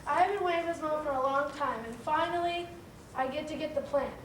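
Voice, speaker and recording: Woman playing a high-voiced evil ant, echoey stage. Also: a little fast, natural voice. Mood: gloating, defiant. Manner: theatrical, declaiming stage villain. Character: menacing, boastful evil ant with a grudge. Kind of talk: children's stage play acting. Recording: echoey stage, distant mic, background noise.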